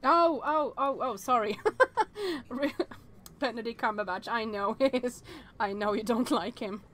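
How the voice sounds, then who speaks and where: A woman's voice: fairly high pitch, unhurried, a bit bright, a little hiss or hum. A woman in her thirties streaming a visual novel, chatting and thinking aloud with hesitant restarts. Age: 30s.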